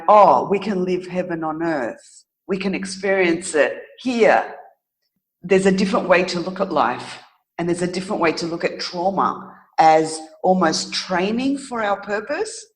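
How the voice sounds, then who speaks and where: A middle-aged woman telling her own story as an interview guest, reflective and thoughtful, often pausing to search for words. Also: on the low side, rough recording.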